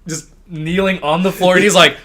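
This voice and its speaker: A man's voice: sits high, fairly even intonation, moderate pace, pushed and bright. A man hosting a podcast, casually telling an anecdote with plenty of quoted dialogue and swearing. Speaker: podcast host.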